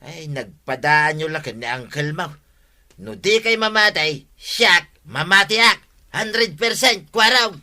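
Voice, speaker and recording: Excitable Ilocano Filipino villager, very bright forceful voice, slightly high, insistent gossip, comedy radio drama. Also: male voice, slow, exaggerated voice. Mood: indignant, emphatic.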